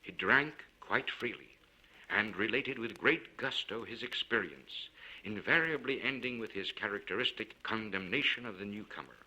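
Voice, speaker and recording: A man reading a literary story aloud in a measured, formal and expressive way. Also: fairly low pitch, low-quality recording. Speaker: audiobook narrator.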